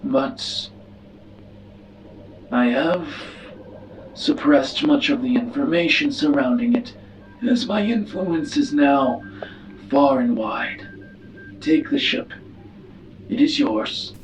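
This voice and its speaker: Slow, solemn alien statesman played by a man. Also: mid-range voice, expressive, distant mic, soft background music, poor audio. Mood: solemn, resigned. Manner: formal, solemn, measured speech. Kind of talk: in-character tabletop roleplay.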